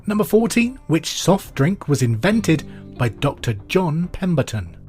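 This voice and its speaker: A middle-aged man hosting a pub quiz podcast, reading out trivia questions and answers in a clear, deliberate way. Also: a little high, big pitch swings, darkish tone. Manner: clear deliberate delivery, repeats each question. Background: music bed.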